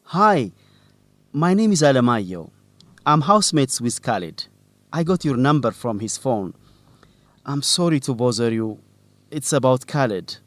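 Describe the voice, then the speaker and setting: A man's voice: medium pitch, very animated, measured pace, pauses often, dry recording. An actor playing a young male student newsletter reporter in a radio drama for English learners, earnest, hesitant and careful in his speech. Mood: worried, apologetic. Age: young adult.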